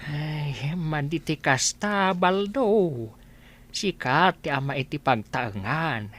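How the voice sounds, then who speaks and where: A woman's voice: low voice, expressive, slow pace, a bit bright, full character voice. Woman playing an exasperated old father, swinging intonation. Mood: scolding. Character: elderly village father, exasperated, soft-hearted toward his family. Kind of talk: Ilocano comedy radio drama acting.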